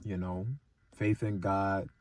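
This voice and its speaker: A man's voice: deep voice, level tone, takes it slowly, a little mellow. A man hosting a video channel, telling a story and giving advice in a casual monologue, often checking in with short tag questions and repeating his points. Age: adult, older than twenty-seven.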